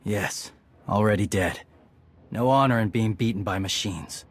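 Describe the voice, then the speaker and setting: An actor playing a stoic, loyal warrior scout in a video game, terse and matter-of-fact with a husky voice. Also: male voice, fairly low pitch, unhurried. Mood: terse, resolute.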